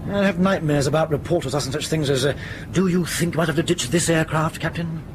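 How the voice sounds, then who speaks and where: An actor playing a dry, principled airline captain in command of a ship, brisk and authoritative, in a radio drama. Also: male voice, fairly high pitch, background noise, lightly acted. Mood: dry, wry.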